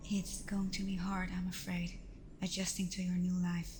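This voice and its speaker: A woman playing a tender, playful vampire girlfriend in an intimate ASMR roleplay, speaking softly and often slipping into whispers with hesitant pauses. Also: lowish voice, monotone, a little hiss or hum, close to an everyday voice. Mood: tender, earnest. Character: tender female vampire girlfriend, reassuring, playful, a little vulnerable.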